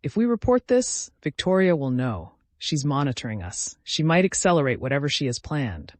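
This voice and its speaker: A man's voice: fairly high pitch, big pitch swings, moderate pace, no room sound. An anxious, defiant young woman, played by a male narrator in an audiobook thriller. The voice is urgent and tense, rising and falling a lot, recorded dry. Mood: urgent fearful.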